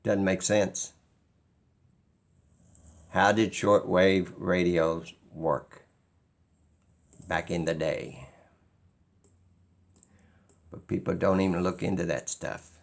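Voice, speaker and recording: A man with a fairly low, gravelly voice full of fry speaks at a very unhurried pace. He delivers an unscripted opinion monologue in an insistent, rambling way, often repeating himself to drive a point home. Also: steady tone. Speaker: video creator.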